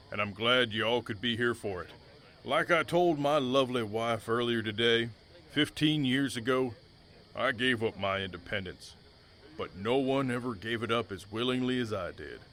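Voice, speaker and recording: A man's voice: medium pitch, a bit bright, natural voice. A man playing a proud, devoted rancher husband in a Western audio drama. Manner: earnest, plain-spoken.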